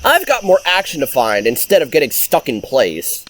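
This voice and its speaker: A man's voice: mid-range voice, wildly swooping pitch, moderate pace, noisy background. A man voicing a cocky young hedgehog hero in an audio story, breezy and teasing.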